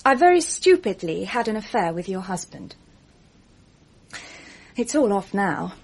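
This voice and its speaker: A blunt, confessional mistress in a radio play. Her voice sits at a middling pitch, over faint noise in the recording. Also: big pitch swings, a little slow, creaky. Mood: brisk confession. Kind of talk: radio drama acting.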